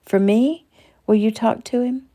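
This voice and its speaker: A woman voicing a gentle, worried husband and father who pleads softly. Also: a little high, measured pace, voice pushed up, close to an everyday voice. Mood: tender. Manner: tender, pleading.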